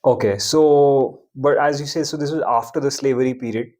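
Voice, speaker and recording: Young man, casual host, mid pitch, relaxed, short questions. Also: moderate pace. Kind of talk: casual chat.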